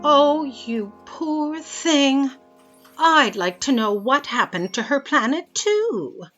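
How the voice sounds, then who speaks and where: An actress playing a forgetful, tender-hearted boss in an audio drama, with a dithery, dramatic delivery. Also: female voice, high voice, expressive, takes it slowly, fairly bright tone, soft background music, full character voice. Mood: vague, sympathetic.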